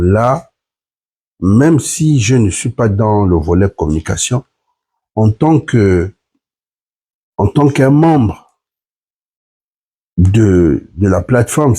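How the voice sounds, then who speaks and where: A man's voice: lowish voice, mellow, breathy edge. A man, sick with the flu, earnestly explaining point by point to viewers in a live stream. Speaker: platform member hosting a live stream.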